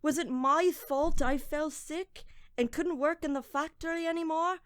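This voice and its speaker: A woman playing a desperate, guilt-ridden poor young man in a melodramatic audio horror drama, anguished and halting. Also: high voice, fairly even intonation, no room sound, clean studio sound. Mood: weary, despairing.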